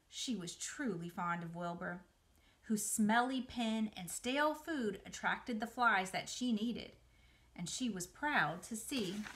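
Woman in her 40s narrating a kids book, mid pitched voice, in a room at home or similar. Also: a bit bright. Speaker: museum educator, reader. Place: small room by a window, indoors.

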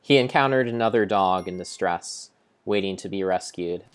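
A young man improvising a mock-grand, deliberate and halting dramatic narration of a video game. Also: sits low. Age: young adult. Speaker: game streamer. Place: indoor room at home.

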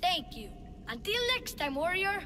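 A woman's voice: very high voice, big pitch swings, a little slow, full character voice. A woman voicing a young boy who is a confident, salesy tour guide and huckster, in a video game. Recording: game music underneath.

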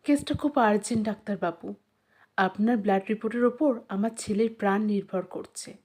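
A woman's voice: medium pitch, moderate pace, a little mellow, slightly rough, natural voice. A woman narrator voicing an anxious, elegant mother who pleads urgently in an audio story. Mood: anxious pleading. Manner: urgent, imploring.

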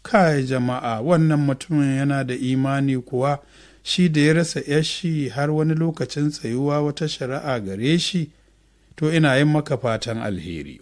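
A man's voice: medium pitch, darkish tone, lightly acted. A man voicing a pious, consoling older woman in an audiobook, speaking in earnest exhortation.

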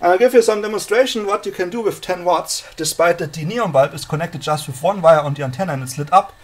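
A man casually presenting a hands-on electronics demonstration in a halting, explanatory way, recorded on a handheld camera in a room at home. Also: sits high. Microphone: handheld camera held by the speaker.

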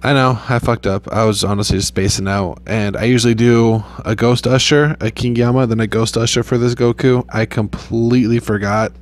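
A young man casually talking over his mobile gameplay in a rambling, laid-back way, with a flat, low-key voice and loose swearing. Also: moderate pace, hardly any pauses. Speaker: gaming YouTuber.

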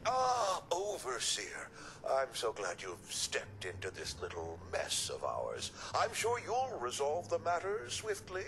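Nervous smarmy robot doctor in a game, voice up and down, theatrical, noisy background. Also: male voice, fairly high pitch, wildly swooping pitch, takes it slowly, exaggerated voice. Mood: unctuous. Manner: hesitant, theatrical, formal. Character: male robot doctor, anxious and unctuous. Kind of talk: video game character acting. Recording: game audio with loud ambient noise.

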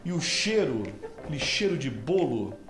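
A man in his thirties works as a field reporter, teasing his guests with upbeat, leading questions. His pitch leaps around animatedly. He speaks into a handheld mic in a small, echoey kitchen with music underneath. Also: very animated, moderate pace. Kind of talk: playful on-location TV interview. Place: small home kitchen indoors.

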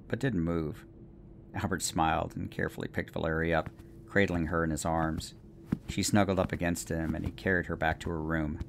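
A man narrating a scripted bedtime story for an audio drama. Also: creaky. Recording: faint low rumbling noise.

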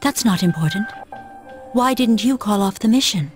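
A woman playing a cold, aloof young female antagonist in a video game, detached and deliberate in delivery. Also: medium pitch, lively intonation, faint music behind, natural voice. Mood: cold, commanding.

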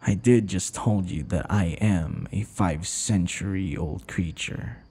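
Man playing a husky, breathy, possessive vampire. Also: deep voice, dark tone, exaggerated voice. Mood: smug, menacing. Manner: slow, mock-tender, taunting, often dropping to whispers. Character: teasing, possessive five-century-old male vampire captor. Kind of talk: ASMR boyfriend roleplay, intimate dramatic monologue.

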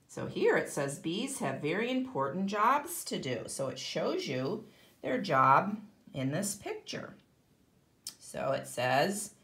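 A woman's voice: lowish voice, fairly bright tone, roomy sound. A woman teaching kindergarten, reading a children's book aloud expressively and explaining it to young listeners. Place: indoor room, at a table.

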